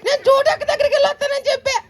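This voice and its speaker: Very squeaky, whiny child puppet. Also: level tone, very fast, slight background noise. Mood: pleading, pouty. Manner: pleading, repetitive, calls out to the children. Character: hungry, chatty, whining child puppet named Cherry. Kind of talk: live children's puppet show banter.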